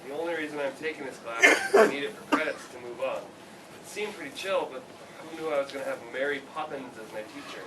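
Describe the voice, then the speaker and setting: A man's voice: medium pitch, expressive, fast talker, close to an everyday voice. A man playing an unimpressed, irritable slacker student with a casual manner in a live play reading. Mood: grumbling. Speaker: actor in a staged play reading. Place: read before a live audience, far camera. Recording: noisy, distant room recording.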